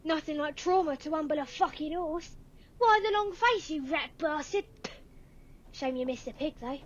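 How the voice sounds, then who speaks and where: A woman voicing a cheeky, excitable, foul-mouthed little Victorian boy in a radio play. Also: sits high, moderate pace, muffled recording, close to an everyday voice. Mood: gleeful, mocking.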